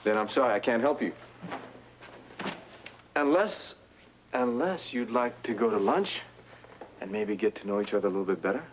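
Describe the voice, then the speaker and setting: A man's voice: on the low side, lively intonation, normal speed, pauses often, slight background noise, lightly acted, rough recording. An actor playing a brusque businessman who turns flirtatious with a visitor. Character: brusque, flirtatious male gym owner. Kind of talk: 1980s TV soap acting.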